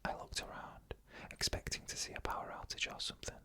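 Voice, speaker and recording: A narrator reading creepy first-person stories in a soft, unvoiced ASMR whisper with slow, measured phrasing. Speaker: ASMR storyteller.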